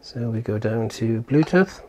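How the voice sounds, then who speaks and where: A man's voice: mid-range voice, expressive, unhurried, warm tone, a little hiss or hum. A man giving casual hands-on product commentary as a reviewer, explaining step by step and thinking aloud informally. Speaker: tech reviewer and presenter.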